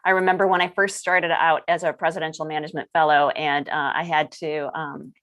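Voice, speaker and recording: A woman moderating a panel discussion, speaking in a measured, reflective way. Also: low-pitched, little pitch movement, a bit bright, dull audio.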